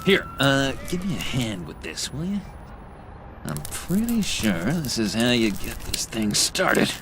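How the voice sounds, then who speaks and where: Jittery misfit, wildly swooping pitch. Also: male voice, a little slow, background noise. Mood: flustered. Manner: stammering, excitable, awkward. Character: John, jittery, eager, unstable lovestruck misfit man, childlike. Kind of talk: video game character acting.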